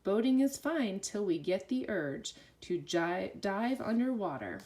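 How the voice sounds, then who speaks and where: A woman reads a children's picture book aloud in an animated voice. Her pitch moves freely up and down as she follows the bouncy rhymes. The delivery is expressive and sing-song, at an ordinary female pitch. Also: a little slow, background noise. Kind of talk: rhyming children's read-aloud.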